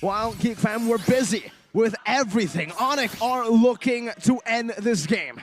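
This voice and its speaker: Male esports caster, high-pitched, rapid play calls, shouts on big fights, loud music bed. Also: lively intonation. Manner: rapid, builds to shouted hype on big plays. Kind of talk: fast esports play-by-play commentary.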